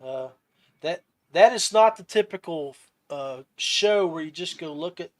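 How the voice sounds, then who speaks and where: Man, podcast host, slightly high-pitched voice, casual interview chat, halting delivery with repeated phrases. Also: animated.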